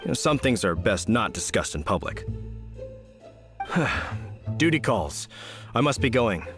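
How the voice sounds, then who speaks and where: An actor playing an earnest, polite young knight guard in a video game, courteous and formal. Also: male voice, fairly low pitch, sing-song, a little slow, a bit bright, full character voice. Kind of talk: video game character acting. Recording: game music behind the voice.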